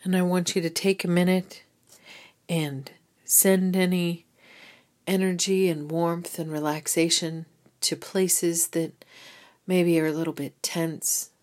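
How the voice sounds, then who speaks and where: A woman gently leading a guided meditation with soothing, unhurried instructions. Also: lowish voice, flat delivery. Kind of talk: guided body scan meditation.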